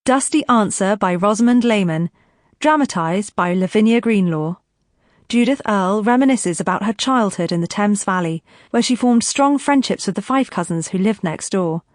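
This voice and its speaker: A woman's voice: medium pitch, animated, moderate pace, no room sound, clean studio sound. A woman with a British accent reading a scripted introduction as an announcer.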